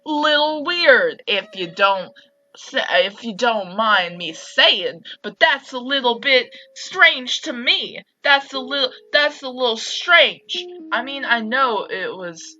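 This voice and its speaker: A woman playing a visual novel and commenting casually, with slangy, expressive reactions. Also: a little high, lo-fi sound. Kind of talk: casual let's play commentary.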